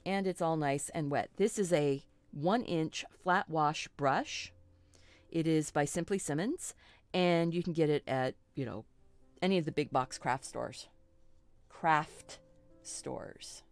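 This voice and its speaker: Middle-aged woman, art host, low-ish and slightly froggy, chatty and reassuring, close dry sound. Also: slow, no room sound. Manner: chatty and reassuring, with rambling asides and self-corrections. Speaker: art teacher hosting a live stream. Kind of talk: relaxed live painting tutorial.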